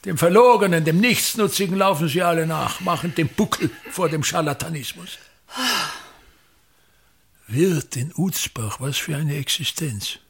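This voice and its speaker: Pompous, gravelly theatre tyrant. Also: male voice, a little high, measured pace. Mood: indignant outburst. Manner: declamatory, contemptuous, repeating words with grand emphasis. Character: pompous, bitter, ranting old actor-manager of a touring theatre. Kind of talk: theatrical German-language radio play monologue.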